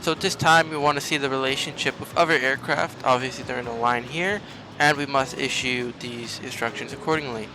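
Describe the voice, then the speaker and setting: A man's voice: mid-range voice, steady tone, a little fast, noisy background. A man hosting a gaming video, explaining a game step by step in tutorial-style commentary.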